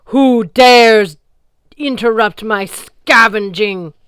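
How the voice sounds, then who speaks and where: Ran, a woman voicing a booming sea goddess. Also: very slow, a bit bright, clean tone, no echo, studio quality. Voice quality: deep baritone, booming. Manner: haughty and commanding. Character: imperious ancient sea goddess, deep-voiced and scornful.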